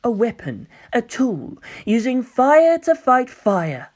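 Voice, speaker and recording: Story villain played by a man: young male angel hybrid, coy, smug, softly theatrical, very lively melody. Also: very high-pitched, takes it slowly, voice pushed up, heavily acted. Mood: smooth explaining. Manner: coy, gentle, musing, theatrical.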